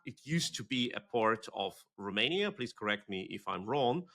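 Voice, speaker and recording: A man's voice: lowish voice, lively intonation, moderate pace, creaky, bright, forceful tone. A man hosting an interview, asking questions in a conversational way and thinking aloud. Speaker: interviewer host.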